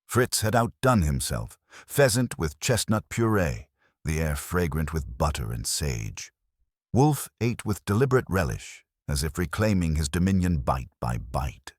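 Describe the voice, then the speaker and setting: A man's voice: extremely low pitch, sing-song, measured pace, slightly rough, no room sound, studio quality. A man reading a first-person detective story as scripted narration. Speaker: audiobook narrator.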